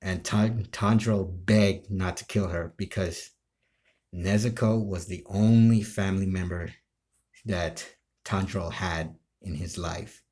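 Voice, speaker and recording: A man telling a story casually, in halting, broken phrases with frequent restarts. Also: sits low, steady tone, a little mellow. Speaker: amateur video creator telling his role-play ideas.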